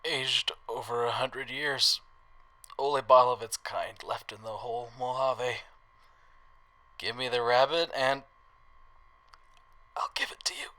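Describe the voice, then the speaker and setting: A man playing a wry, roguish wasteland drifter with a soft heart in an audio drama. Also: mid-range voice, steady tone, measured pace, long pauses. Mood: bargaining.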